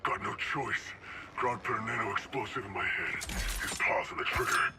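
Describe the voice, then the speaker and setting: An actor playing a gruff, grimly resigned mercenary villain in a video game cutscene, terse in delivery. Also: male voice, on the low side, moderate pace, close to an everyday voice. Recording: very noisy mechanical clatter behind.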